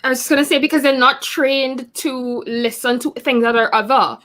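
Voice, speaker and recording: A woman with a slightly high voice speaks in a casual group discussion. She argues her point steadily and directly in long, connected runs. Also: steady tone, moderate pace, a bit bright.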